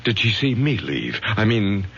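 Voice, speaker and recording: A man's voice: lowish voice, big pitch swings, a little slow, fairly bright tone, heavily acted. An actor in a radio drama playing a middle-aged professor father, protective and authoritative, with clipped, insistent questioning. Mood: tense, calculating. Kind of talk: 1970s radio drama acting. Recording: muffled, hissy old radio broadcast recording.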